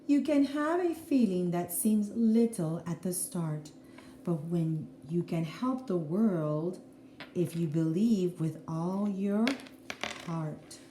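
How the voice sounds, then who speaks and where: A woman teacher reading a children's picture book aloud in an expressive, deliberate way, with explanatory asides to young listeners. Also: lowish voice. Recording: roomy echo, faint background noise.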